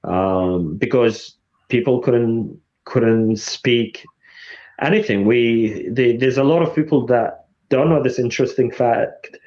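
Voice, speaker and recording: A man from Iraq, a podcast guest, talking in a halting way with repeated words. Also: medium pitch, a little mellow. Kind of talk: casual conversation. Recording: dull lo-fi sound.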